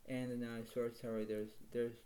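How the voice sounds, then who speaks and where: Quiet, rambling male tarot reader. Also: level tone, a little fast, a little hiss or hum. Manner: rambling and repetitive, circling back to stock phrases; talks quietly, apologizes for his low voice. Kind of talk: casual spoken tarot reading.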